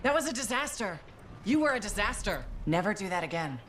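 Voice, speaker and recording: A blunt woman crewmate in a game, easily exasperated. Her voice sits at a medium pitch. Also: very animated, a little fast, fairly bright tone, a little hiss or hum. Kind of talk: video game cutscene acting.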